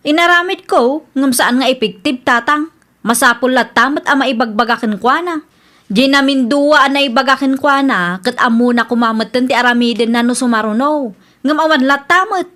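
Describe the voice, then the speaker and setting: A woman's voice: high voice, normal speed, a bit bright. A woman acting in an Ilocano radio drama as a fed-up, blunt wife with an Ilocano accent. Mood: frustrated. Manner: exasperated, blunt. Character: fed-up young widow complaining about her lazy second husband.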